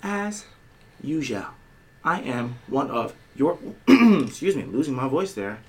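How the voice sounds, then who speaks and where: A man co-hosting a casual podcast, bantering playfully, with a slight tickle in his throat that keeps making him clear it. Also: mid-range voice, big pitch swings, slow pace, a little mellow. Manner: playful, boastful self-introductions. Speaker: podcast co-host.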